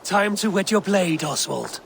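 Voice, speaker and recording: An actor voicing a tough, honorable Viking leader in a video game, with a commanding, challenging manner. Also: male voice, high-pitched, expressive, unhurried, heavily acted. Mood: steady. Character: tough Viking warrior leader, goading yet honorable mentor. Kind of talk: dramatic video game acting. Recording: clearly noisy game background ambience.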